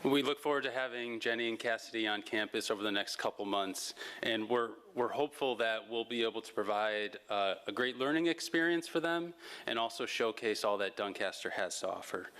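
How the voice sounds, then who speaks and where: A man's voice: lowish voice, brisk, fairly bright tone. A man speaking as host, making informal announcements to a community gathering and introducing speakers.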